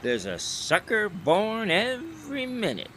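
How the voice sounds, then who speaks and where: A man's voice: high voice, huge pitch swings, slow, fairly bright tone, slight background noise, heavily acted. An actor playing a complaining, jittery, wisecracking newspaperman in a 1920s audio drama, full of slang. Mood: mocking.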